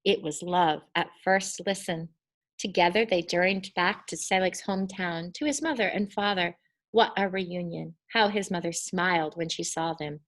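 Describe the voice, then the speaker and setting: A woman reading a children's story aloud in an expressive, deliberate and emphatic storytelling style. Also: lowish voice. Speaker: storybook reader.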